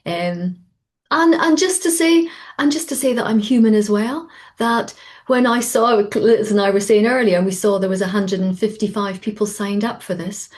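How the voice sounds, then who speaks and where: A woman, an executive coach, talking on a webinar in an animated, storytelling way. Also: mid-range voice, moderate pace. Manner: animated, lively storytelling with acted quotes. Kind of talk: conversational webinar interview.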